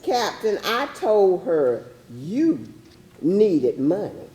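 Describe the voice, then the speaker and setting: Woman acting a slow, gleefully mocking runaway slave. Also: medium pitch, very animated, dark tone. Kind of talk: theatrical monologue with singing. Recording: noisy, echoey hall, distant mic.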